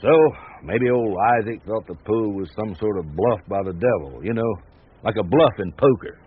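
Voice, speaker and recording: An actor playing an easygoing, laconic ranch hand with a folksy drawl from the American West, in a radio drama. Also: male voice, fairly low pitch, moderate pace, lightly acted. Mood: folksy storytelling. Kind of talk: naturalistic radio drama acting. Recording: tinny narrow-band radio sound, background noise.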